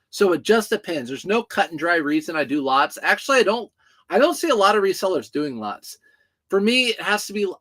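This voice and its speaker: A man's voice: on the high side, fairly bright tone. A man in his thirties hosts a casual livestream, packing orders and chatting with viewers in a joking, talkative way. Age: 30s. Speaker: reseller hosting a livestream.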